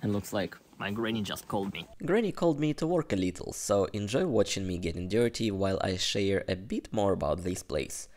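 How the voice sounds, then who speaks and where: A Russian-accented man in his twenties talks in a relaxed, chatty vlog manner. His voice sits a little low, and his phrasing sometimes pauses and restarts as he searches for words. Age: 20s. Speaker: vlogger giving a house tour. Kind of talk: casual vlog narration. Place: outdoor garden plot. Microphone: phone at arm's length.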